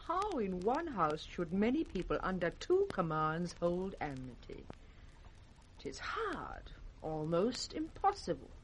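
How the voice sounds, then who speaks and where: An actress playing a scornful, hard-hearted royal daughter, haughty and emphatic, in radio Shakespeare. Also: female voice, mid-range voice. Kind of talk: 1960s British radio Shakespeare acting. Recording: faintly noisy vintage radio recording.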